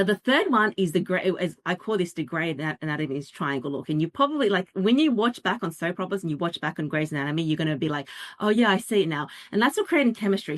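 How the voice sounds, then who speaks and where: A woman leads a dating workshop, chatty and lively, dropping quick asides and acting out little quotes as she explains. Her voice sits a touch low for a woman. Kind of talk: interactive workshop talk.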